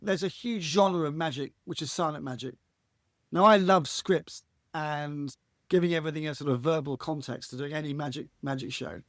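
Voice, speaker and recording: A man with a husky voice, a podcast guest talking reflectively and earnestly, often restarting his phrases. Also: a little high, normal speed, no echo, studio quality. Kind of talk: reflective conversational interview.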